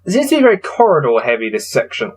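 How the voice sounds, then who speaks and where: A man's voice: medium pitch, wildly swooping pitch, fast, breathy edge. A man hosting a casual gamebook playthrough, reading passages aloud and adding wry, self-deprecating asides. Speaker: host playing through a gamebook.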